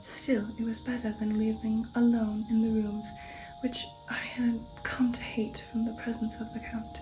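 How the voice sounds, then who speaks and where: A woman giving an ASMR reading of a gothic novel in a soft, breathy whisper, slow, hushed and measured, close to the microphone. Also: fairly high pitch, flat delivery, music in the background, poor audio. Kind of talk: ASMR audiobook narration.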